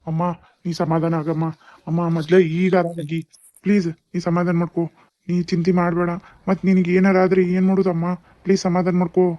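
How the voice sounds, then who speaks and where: A woman's voice: on the low side, level tone, a little fast, lo-fi sound, close to an everyday voice. A woman playing a concerned, earnest grown child in a family drama, speaking with a North Karnataka accent. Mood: placating.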